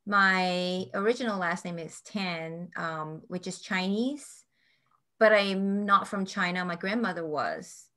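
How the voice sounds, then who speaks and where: Bright-voiced chatty woman from Malaysia. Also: fairly low pitch. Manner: warm, chatty storytelling with frequent ums and quick laughs. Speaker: tarot deck creator and artist. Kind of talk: casual interview answers.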